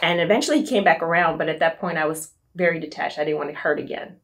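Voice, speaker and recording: A woman's voice: low voice, fast talker, fairly bright tone. A young woman from Nashville, telling her personal story as a podcast guest, candid and reflective. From Nashville, USA. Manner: candid and reflective, many fillers like "um" and "right?".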